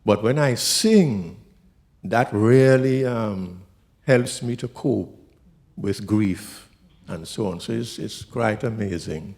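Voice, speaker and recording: A man, himself a minister, sharing a heartfelt personal reflection at a church service, halting and restarting as he speaks. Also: on the low side, darkish tone.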